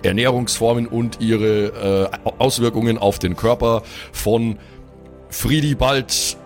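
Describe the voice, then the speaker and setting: A man's voice: mid-range voice, steady tone, takes it slowly, soft background music. A man playing a bookish, know-it-all dwarf in an improvised fantasy audio drama, wordy and lecturing.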